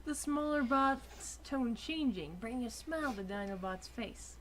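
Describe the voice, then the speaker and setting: A woman's voice: fairly high pitch, expressive, unhurried. A young woman reading fan fiction narration aloud in an amateur style, with casual asides to friends. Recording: clearly noisy amateur home recording with clicks.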